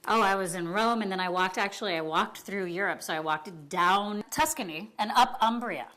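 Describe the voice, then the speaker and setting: A woman's voice: mid-range voice, normal speed, pushed and bright. Bubbly female cooking show co-host. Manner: bubbly, enthusiastic, laughs easily.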